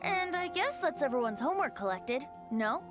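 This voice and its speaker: A woman's voice: high voice, very animated, normal speed, over music. A woman voicing a playful, theatrical boyish bard in a video game, with a teasing, flourishing manner. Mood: smug, pleased.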